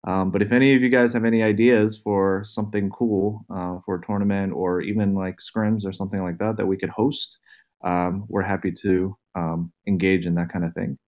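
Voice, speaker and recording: Male interviewer; somewhat low pitch, easygoing, informal question-and-answer delivery. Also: fairly even intonation, normal speed, darkish tone. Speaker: interviewer reading fan questions, team executive. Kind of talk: casual Q&A chat.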